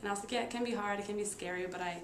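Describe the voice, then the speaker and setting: A woman in her twenties telling a personal story casually to camera, halting and stuttering with repeated sounds, blocks and frequent fillers. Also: medium pitch, steady tone. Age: 20s. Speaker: nursing student and stuttering therapy client. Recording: roomy echo with noticeable background noise.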